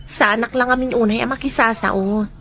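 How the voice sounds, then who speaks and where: A woman acting a lonely, modest young office worker who speaks softly and shyly in a radio drama. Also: fairly high pitch, fairly even intonation, a little fast, slight background noise. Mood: gentle.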